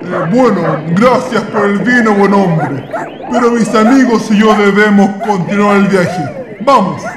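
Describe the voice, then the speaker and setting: A man's voice: sits high, unhurried, crowd noise. A man playing a bold, commanding traveller, declamatory and emphatic in a radio drama. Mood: polite, firm.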